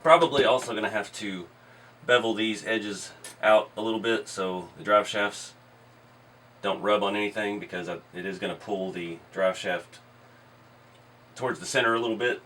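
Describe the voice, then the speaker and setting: A hoarse man hosting a hobby channel, chatting casually while he works on a model build in his workshop. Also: lowish voice, moderate pace, recorded from a distance, a little hiss or hum. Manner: relaxed, explains while working with his hands. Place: indoor garage workshop.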